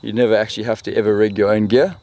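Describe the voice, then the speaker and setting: A man's voice: on the low side, flat delivery, very fast, dark tone. A South African man speaking as a guest in an informal interview. He tells things casually and with a wry, joking edge. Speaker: interview guest, spearfishing guide and retailer. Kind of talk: casual chat.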